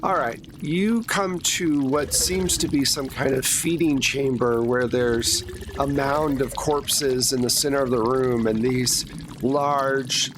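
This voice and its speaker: A man's voice: medium pitch, background noise. A man hosting and narrating a tabletop roleplaying game as game master, conversational and self-deprecating. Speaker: game master, podcast host.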